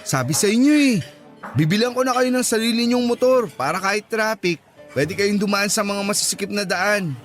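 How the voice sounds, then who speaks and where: A man's voice: high voice, moderate pace, a bit bright, faint music behind. A man acting a playful, earnest young boyfriend and doting son in a radio drama, with a Filipino accent. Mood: teasing.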